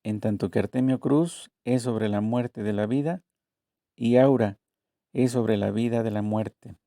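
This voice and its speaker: A man narrates a translated interview, taking both the interviewer's and the author's parts. His voice is very dark and mellow, and his delivery is measured and deliberate. The sound is dry and close, with no room echo. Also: fairly low pitch, steady tone.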